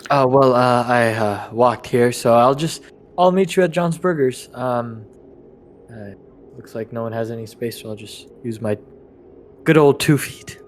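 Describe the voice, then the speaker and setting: A man playing a tabletop game, casually describing his character's actions in a halting, informal way. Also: mid-range voice, a little hiss or hum.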